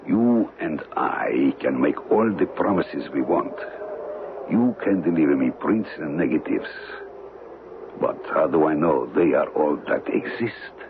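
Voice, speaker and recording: Deep, gravelly, menacing criminal. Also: male voice, a little slow, heavily acted. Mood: cold, calculating. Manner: calm, formal, precise, threatening. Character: cold menacing male criminal without conscience. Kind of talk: old-time radio drama acting. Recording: noisy muffled old radio broadcast.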